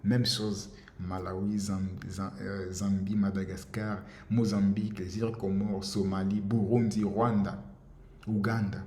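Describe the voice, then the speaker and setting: A man's voice: fairly low pitch, flat delivery, a little slow, darkish tone, a little hiss or hum. A man from the DR Congo, giving an impassioned monologue, insistent and repetitive, often stumbling over words. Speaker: commentator. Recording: roomy echo with faint ambience.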